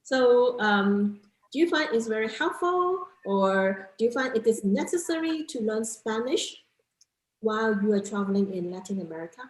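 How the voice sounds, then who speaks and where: High, lively woman interviewing in an echoey room. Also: moderate pace. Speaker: interviewer, travel vlogger. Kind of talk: casual interview chat.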